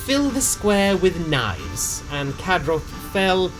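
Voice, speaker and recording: A man's voice: sits high, huge pitch swings, slow, low-quality recording. Man narrating a game recap over music. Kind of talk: narrated story recap, semi-scripted, casual.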